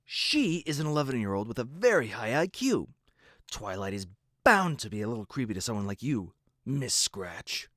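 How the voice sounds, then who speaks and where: Man voicing an earnest young guard stallion, lively pitch. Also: normal speed, dry recording, clean studio sound. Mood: stern. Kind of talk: fan audio drama dialogue.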